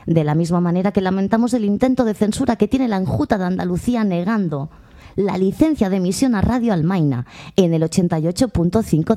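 A woman's voice: fairly low pitch, dark tone. A woman radio presenter making a formal, mock-serious on-air announcement.

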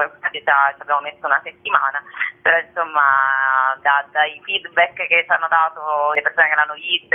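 A woman chatting as a radio interview guest about her theatre work. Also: deep voice. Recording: tinny, narrow phone-line sound.

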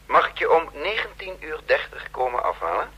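A polite, self-possessed intelligence boss in a radio play. He speaks formally in a sharp-toned, slightly rough voice, measuring his words with small hesitations. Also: on the high side, big pitch swings, brisk, exaggerated voice. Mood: businesslike, polite. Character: courteous, self-possessed male intelligence chief. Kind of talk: Dutch radio drama acting.

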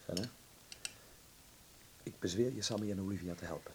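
An actor in a radio drama playing an anguished, idealistic wartime helper, intense, hushed and pleading. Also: male voice, low-pitched, moderate pace, rough recording. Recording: hissy old radio play recording.

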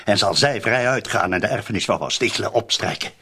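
An actor with a Flemish accent playing a cynical, streetwise murder accomplice in a radio drama, insistently spelling things out in colloquial speech. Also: male voice, lowish voice, brisk, pushed and bright, a little hiss or hum. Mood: ominous.

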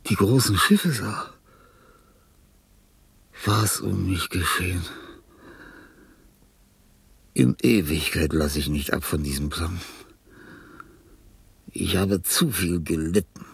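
Husky, grandiose dying old composer. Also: male voice, deep voice, dry recording, exaggerated voice. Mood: weary, resolute. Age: older. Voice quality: breathy, husky, often falling to a whisper. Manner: theatrical, rambling, with sudden swings from murmur to outburst. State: frail, ailing. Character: dying old famous composer, restless, self-pitying, grandiose, agitated. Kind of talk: radio play monologue.

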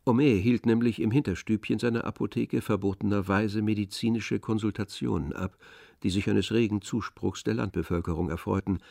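A man's voice: fairly low pitch, fairly even intonation, brisk, gravelly, warm tone, dry recording, clean studio sound. A man reading scripted literary narration for an audio drama.

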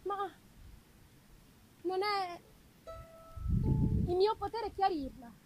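A woman voicing a wretched young accused woman pleading her innocence, solemn and deliberate, in a gothic novel reading. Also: extremely high pitch, animated, heavily acted, low-quality recording. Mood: anguished, resigned. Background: live music.

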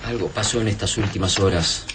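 An actor playing a thoughtful, grave invasion survivor in a radio drama. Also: male voice, low voice, fairly quick, background noise, natural voice. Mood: grave, puzzled.

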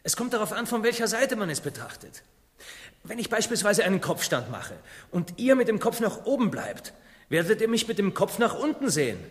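An actor playing an eager, stubborn young explorer in a theatrical radio play, explaining with fervour. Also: male voice, sits high, big pitch swings, a little fast. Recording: long hall reverb, music behind.

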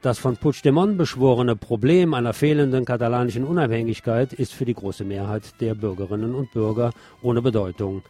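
A man's voice: mid-range voice, fairly quick, few pauses, mellow, soft background music. A man reading scripted radio news with some pointed commentary.

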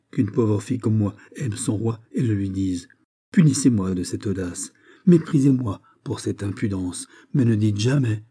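A man's voice: mid-range voice, mellow, husky, dry recording, clean studio sound. Man voicing a desperate, pleading young court maiden. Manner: impassioned, breathless exclamations and pleading.

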